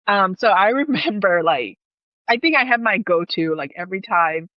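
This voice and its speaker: A woman's voice: mid-range voice, animated, moderate pace, no room sound, highs cut off. A woman from Thailand with a Thai accent, telling personal stories casually as an interview guest in her second language.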